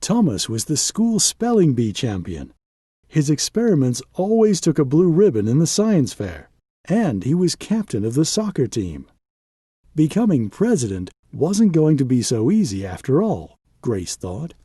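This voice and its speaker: A man reading a children's picture book aloud as an expressive storyteller, with measured, dramatic phrasing and pauses for emphasis. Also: fairly high pitch, husky, dry recording, studio quality.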